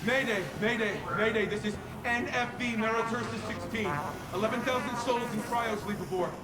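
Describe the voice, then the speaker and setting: An actor playing an alarmed spaceship pilot sending an urgent, strained distress call in a sci-fi game, echoey and buried in noise. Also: male voice, very high voice, fairly even intonation, moderate pace, over loud music. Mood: panicked, urgent. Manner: urgent, strained radio reporting. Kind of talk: dramatic video game radio acting. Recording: echoey distress transmission under loud noise.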